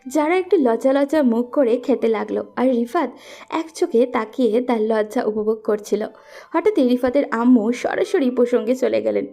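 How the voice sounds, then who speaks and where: Female storyteller for scripted romance narration, higher-pitched with a dark, mellow tone. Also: moderate pace, vocal fry.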